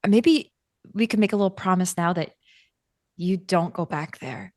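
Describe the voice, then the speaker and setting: A woman playing a caring, protective friend in a tabletop roleplay, speaking gently and haltingly with careful wording. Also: lowish voice, no room sound, clean studio sound, lightly acted. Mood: coaxing, protective.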